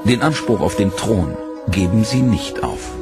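A man narrating a history documentary from a script, in a measured, dramatic way. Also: lowish voice, lively intonation, loud music behind the voice.

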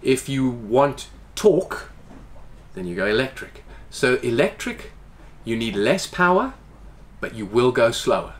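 Animated man explaining aboard a boat. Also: mid-range voice, measured pace. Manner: animated, gestures with hands while explaining. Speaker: boat owner. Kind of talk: casual interview. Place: inside a boat salon.

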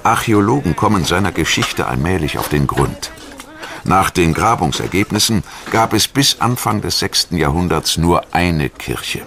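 A man reading scripted documentary narration in a measured, formal manner. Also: low-pitched, animated, creaky, fairly bright tone.

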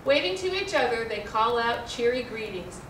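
A middle-aged American woman reads a rhyming children's picture book aloud in an expressive, carefully enunciated way. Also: a little high, normal speed. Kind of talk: children's storybook read-aloud. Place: indoor room, seated before a backdrop. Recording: roomy, distant mic, faint noise.

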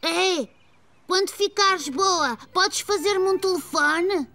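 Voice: very high-pitched, slow, sharp tone, heavily acted. A voice actor playing a chirpy, curious preschool child in a cartoon, with a European Portuguese accent. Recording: music behind the voice.

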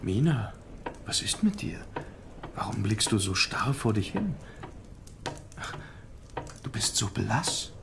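An actor playing a devoted, anxious gentleman hunting a vampire, grave and intense, in a German audio drama. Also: fairly low pitch, sing-song, slow, vocal fry, long pauses, noisy background, exaggerated voice. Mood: worried.

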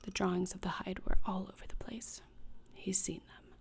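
A young woman playing a gloomy, morbid gothic teenage schoolgirl in a whispered ASMR roleplay, deadpan, deliberate and disdainful, close to the microphone. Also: medium pitch, creaky. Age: young adult. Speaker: ASMR creator. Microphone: close desktop microphone, seen.

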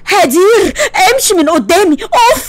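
A woman's voice: extremely high pitch, sing-song, moderate pace, no echo. A woman voicing an irritable, sarcastic tomboyish young woman with an Egyptian accent. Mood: exasperated. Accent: Egyptian Arabic. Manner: exasperated, sarcastic.

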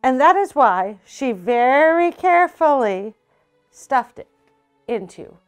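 Slow, expressive storybook-reading woman. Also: sits high, clean tone, soft background music. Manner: slow, expressive read-aloud with character voices. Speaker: storytime reader. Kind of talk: reading a picture book aloud. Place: small indoor room.

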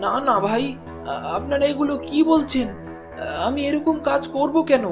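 A man's voice: extremely high pitch, moderate pace, music in the background. A man voicing a nervous village meat-shop owner in a cartoon, stammering defensively. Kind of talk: Bengali cartoon dialogue.

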